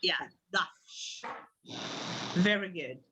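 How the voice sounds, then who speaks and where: A woman's voice: lowish voice, extremely slow, background noise. A woman teacher leading an interactive online group language lesson in an encouraging manner.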